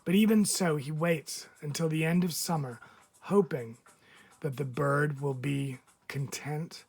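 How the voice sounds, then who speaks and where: A man reading a picture book aloud as a teacher would, expressively, with deliberate dramatic phrasing and frequent pauses. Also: fairly high pitch, creaky, darkish tone. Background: background music.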